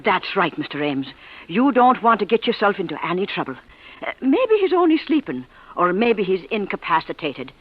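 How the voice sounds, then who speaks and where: An actor playing a deferential, superstitious older fellow with a folksy, hesitant manner in an old radio drama. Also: male voice, sits high, sing-song, normal speed. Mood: hesitant, uneasy. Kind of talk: 1940s-50s radio drama acting. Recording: muffled, echoey vintage radio recording.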